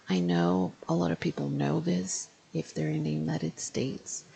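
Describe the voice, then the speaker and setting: Gravelly-voiced woman in her fifties. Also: sits low, darkish tone, poor audio. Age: 50s. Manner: deliberate, explanatory, slowing to stress key phrases. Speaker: English teacher reviewing a TV show. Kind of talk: spoken video review.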